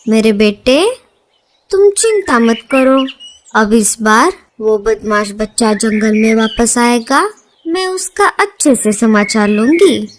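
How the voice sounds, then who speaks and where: A woman's voice: a little high, animated, slow, a little hiss or hum. A woman voicing an anxious, protective mother bird in a children's cartoon, with a dramatic, exclamatory delivery. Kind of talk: Hindi children's cartoon dubbing.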